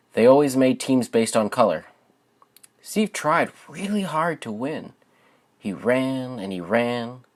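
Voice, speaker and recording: A young man who hosts a channel and reads his own writing aloud for viewers. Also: mid-range voice. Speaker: channel host and children's book author. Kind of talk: casual spoken introduction to viewers.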